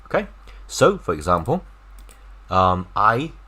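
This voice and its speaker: Very deep-voiced Chinese-accented man teaching. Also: big pitch swings, sharp tone, breathy edge. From China. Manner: repeats and slowly stretches words to demonstrate pronunciation. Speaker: language teacher. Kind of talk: informal language lesson, explaining words.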